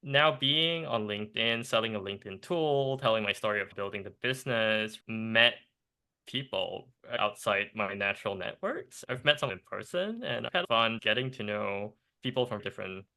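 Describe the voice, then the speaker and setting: A young man from Singapore speaks as a guest on a business podcast, his low voice gravelly and bright at the edges. He talks in animated, quick bursts, restarting phrases and laughing as he goes. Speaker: startup co-founder, guest. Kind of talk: casual podcast interview.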